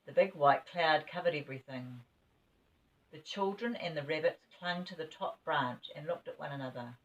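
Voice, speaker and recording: A woman's voice: low-pitched, normal speed. An older woman reading a children's story expressively, as a grandmother would. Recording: dull, distant home recording.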